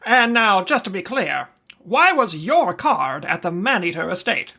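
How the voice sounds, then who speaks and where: A wry insurance investigator from a 1940s-style radio drama, with a bright, forceful voice. His patter is clipped and hardboiled, and his pitch swings widely. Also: very animated, a little slow, gravelly, full character voice. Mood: businesslike. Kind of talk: old-time radio detective drama acting.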